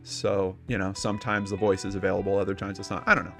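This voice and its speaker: A man's voice: low-pitched, little pitch movement, quick pace, a bit bright, faint music behind. A man co-hosting a casual discussion show, chatting and telling stories in an informal, skeptical way. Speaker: podcast co-host.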